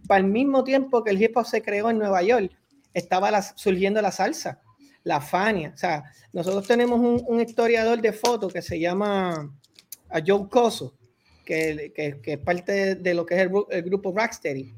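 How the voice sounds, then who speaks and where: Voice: medium pitch, normal speed, a little mellow. A Puerto Rican podcast host in casual discussion, rambling and repeating words while gathering thoughts.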